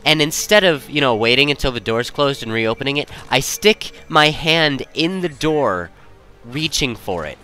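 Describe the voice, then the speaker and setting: Bright-voiced young man rambling through stories. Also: mid-range voice, animated, moderate pace, soft background music. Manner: self-interrupting, second-guesses his wording. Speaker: storyteller. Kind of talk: casual rambling personal storytelling.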